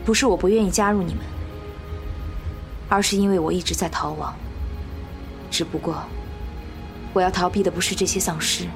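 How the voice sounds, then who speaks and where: Actress as guarded fugitive heroine, low-pitched woman, gravelly creak, grave measured delivery, radio drama, music underneath. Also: fairly even intonation. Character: Alice, guarded woman fugitive, an escaped engineered bioweapon. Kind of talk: dubbed Chinese radio drama acting.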